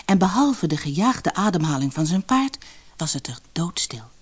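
Low-voiced woman reading fairy tales expressively. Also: sing-song, husky. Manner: dramatic, measured storybook delivery. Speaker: storyteller reading a fairy tale audiobook. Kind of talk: expressive scripted fairy tale narration.

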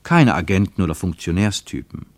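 A man's voice: low voice, very animated, brisk, no echo. A man reading scripted literary narration for a radio play, as its narrator.

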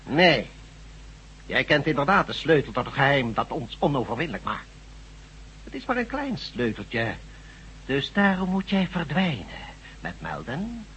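A man acting in a radio drama as a smug, menacing god posing as a doctor, deliberate and condescending. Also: mid-range voice, big pitch swings, a bit bright, slight background noise. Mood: smug, gloating.

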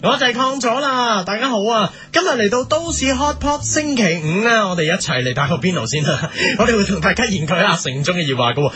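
A man playing a gossipy, money-minded presenter on a comedy talk show, with animated, exaggerated reactions. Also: sits high, brisk, hardly any pauses, exaggerated voice. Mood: chirpy, gossipy. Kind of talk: parody talk show interviewing.

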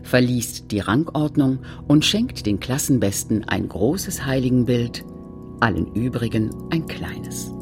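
A man with a husky, gravelly voice narrating a literary radio play in a measured, read-aloud manner. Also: mid-range voice, animated, over music.

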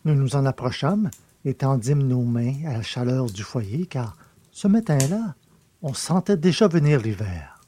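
A man's voice: mid-range voice, animated, a little slow, mellow, slight background noise. A man reading literary fiction as a scripted audiobook narrator.

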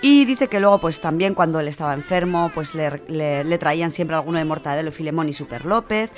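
Low-voiced Spanish woman, chatty radio presenter. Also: lively intonation, fairly quick, soft background music. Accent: Spanish from Spain. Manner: chatty, informal, colloquial. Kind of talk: casual radio chat, reading out listener contributions.

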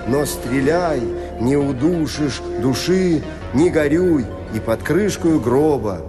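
An actor playing a vain, anguished poet in an audio play, declamatory, plaintive and self-pitying. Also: male voice, mid-range voice, natural voice. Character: vain, anguished poet tormented by his own genius. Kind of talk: theatrical audio play acting.

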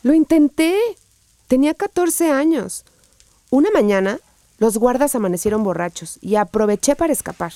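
An actress playing a gentle, resilient female survivor, speaking earnestly and confidingly in a radio drama. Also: on the high side, expressive, normal speed, no echo, close to an everyday voice. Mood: somber, recalling.

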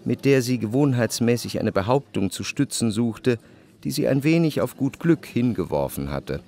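A man acts an observant literary narrator in a radio play. He tells the story in a measured, wry way, with a medium pitch and widely varied intonation. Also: animated, dark tone, close to an everyday voice.

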